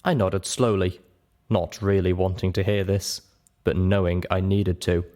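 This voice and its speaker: A man's voice: deep voice, fairly even intonation, unhurried, a little mellow. A man reading scripted first-person fiction as an audiobook narrator.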